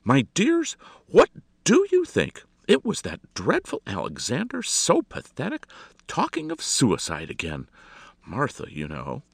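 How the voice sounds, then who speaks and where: Man voicing a shrill, imperious society lady. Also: huge pitch swings, unhurried, gravelly, breathy edge, dry recording, full character voice. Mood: gushing, scornful. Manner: shrieking, gushing, impatient. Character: imperious, exasperated society hostess, theatrical drama queen.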